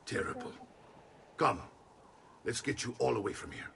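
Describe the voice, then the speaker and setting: Gruff hearty uncle warrior, gravelly, piercing bright. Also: male voice, medium pitch, lively intonation, normal speed, a little hiss or hum, exaggerated voice. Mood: warm. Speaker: video game character.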